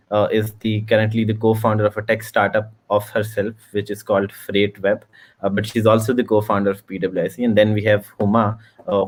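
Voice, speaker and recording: A man moderating a virtual panel, switching quickly between Urdu and English. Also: lowish voice. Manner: rapid speech mixing Urdu and English.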